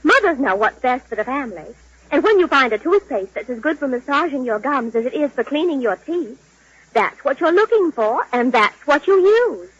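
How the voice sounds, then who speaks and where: Doting, talkative mother, high and lively, eager to boast, in vintage radio comedy. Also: normal speed, no room sound. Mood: confident, instructive. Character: chatty, proud, doting mother answering a census taker. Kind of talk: 1940s radio comedy sketch acting. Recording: dull lo-fi 1940s radio recording.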